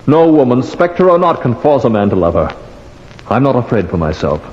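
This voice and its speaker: A passionate young bridegroom played by an actor speaks with defiant, earnest intensity and wide swings of pitch. It is melodramatic old radio horror, heard through echo and a muffled recording. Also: male voice, big pitch swings, normal speed, natural voice. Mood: earnest, fearful. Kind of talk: old-time radio horror drama acting.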